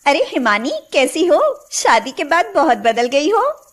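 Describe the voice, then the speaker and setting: A woman's voice: high-pitched, big pitch swings, measured pace. A woman voicing a friendly, concerned young friend in a cartoon story, chatty and sympathetic. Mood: surprised, friendly. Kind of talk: cartoon moral-story voice acting.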